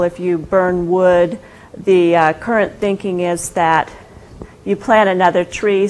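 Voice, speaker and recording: A soft-spoken woman giving an informal guest lecture, hesitant, with many fillers and restarts. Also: fairly low pitch, slight background noise, low-quality recording. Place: university lecture room.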